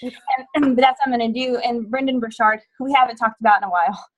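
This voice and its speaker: A woman's voice: a little high, fairly even intonation, fast, a little hiss or hum, low-quality recording. A woman co-hosting a casual group video call, talking in a chatty, rambling way with fillers. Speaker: co-host.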